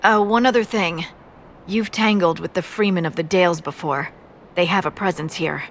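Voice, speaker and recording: A woman's voice: fairly low pitch, moderate pace, a little hiss or hum, lightly acted. A woman voicing a wry, friendly scout in a video game, speaking in a playful, conversational way. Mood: briefing.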